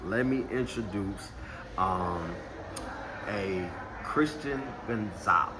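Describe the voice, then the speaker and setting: A man's voice: deep voice, slow, noisy background. A man from Texas hosting a casual interview, talking in a laid-back, slangy way. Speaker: host. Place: indoors in Puerto Rico.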